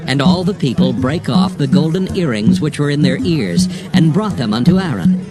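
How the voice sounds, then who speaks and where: Animated man narrating scripture over loud music. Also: on the high side, normal speed.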